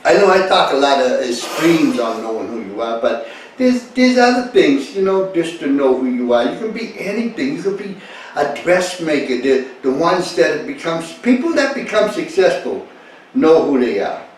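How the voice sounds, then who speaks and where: A man's voice: on the high side, sing-song, moderate pace. A man giving an informal, rambling talk to listeners, repeating phrases for emphasis with an easy chuckle. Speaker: speaker leading a talk. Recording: echoey, distant mic, faint noise.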